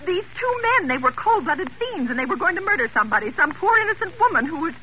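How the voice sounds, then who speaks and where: A woman's voice: extremely high pitch, very animated, fast talker. An actress playing a frantic, self-important married woman in an old radio drama, stammering and indignant as she talks haughtily on the telephone. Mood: horrified, urgent. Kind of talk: golden age radio drama acting. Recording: vintage radio broadcast, muffled, hissy.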